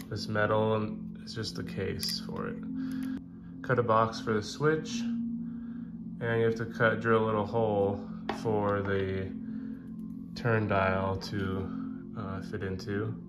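Relaxed Southern man with um-filled talk. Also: lowish voice, slow, pauses often. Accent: Southern American. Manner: relaxed, many ums and uhs. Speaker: fishing channel host. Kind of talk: casual how-to walkthrough. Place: indoor workshop bench. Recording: loud guitar music behind.

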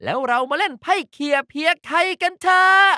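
A woman voicing a cute, energetic, pouty young girl mascot for a card game, playful and emphatic in an anime-like style. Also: sits high, brisk, dry recording, clean studio sound. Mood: spirited. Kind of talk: character voice pack lines, anime-like acting.

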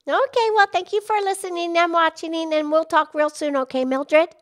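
A woman voicing an excitable, childlike pig puppet with an eager, chatty, exclamatory manner in a children's puppet show. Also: very high-pitched, moderate pace. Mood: cheerful, eager. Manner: eager, exclamatory, repeats phrases.

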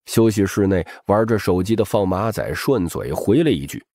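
A man's voice: lowish voice, lively intonation, normal speed, a little mellow, no room sound, clean studio sound. A man reading scripted, dramatic audiobook narration.

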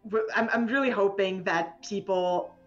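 A woman game developer chatting casually as an interview guest, rambling in an animated, giggly, hesitant way. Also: mid-range voice, moderate pace, highs cut off, faint music behind. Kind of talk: casual spoken interview chat.

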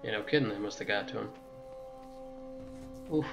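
A man's voice: on the low side, normal speed, creaky, highs cut off, over music. A man giving a casual video game walkthrough, rambling and correcting himself, with jokey asides to the game. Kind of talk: casual gameplay walkthrough commentary.

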